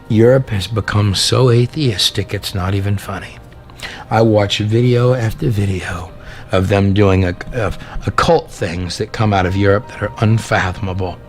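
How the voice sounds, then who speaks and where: Male preacher-storyteller, lowish voice, theatrical emphatic delivery of sermon and prophecy, faint music underneath. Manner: theatrical, emphatic, drawn-out dramatic phrasing with rhetorical questions. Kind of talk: dramatic sermon with read-aloud prophecy. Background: background music.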